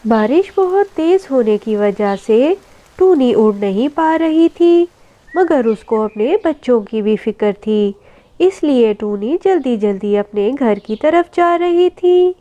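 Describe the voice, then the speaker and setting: A woman's voice: a little high, lively intonation, slow, mellow. A woman narrating a scripted children's story in a dramatic way, on slightly dull audio.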